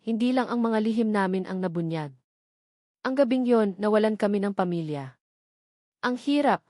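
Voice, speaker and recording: A woman's voice: medium pitch, darkish tone, no echo, clean studio sound. A woman giving an emotional, melodramatic first-person narration of a scripted drama story.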